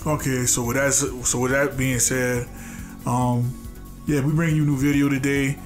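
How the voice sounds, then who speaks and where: A man's voice: mid-range voice, flat delivery, normal speed, soft background music. A congested man with a nasal voice hosts a casual drawing tutorial, talking loosely with restarts and fillers. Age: adult, a father. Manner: loose and repetitive, restarting phrases, many fillers. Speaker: art tutorial host.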